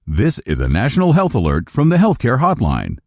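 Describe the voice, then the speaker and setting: A man's voice: mid-range voice, huge pitch swings, a little fast, a little mellow, no echo, clean studio sound. A man reading a health-product radio advertisement with urgency, repeating a phone number again and again. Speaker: commercial announcer. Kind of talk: advertisement read.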